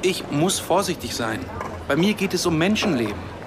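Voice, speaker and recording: An actor playing an earnest, idealistic and sardonic Palestinian academic and activist in a radio drama. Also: male voice, on the high side, lively intonation, moderate pace, noisy background, lightly acted. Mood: earnest, determined.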